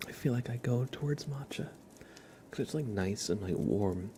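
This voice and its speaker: A man hosting an ASMR livestream, chatting gently and informally in a soft, breathy voice that often slips into a whisper, close to the microphone. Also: mid-range voice, expressive, normal speed, a little hiss or hum. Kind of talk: soft-spoken ASMR, casual live chat.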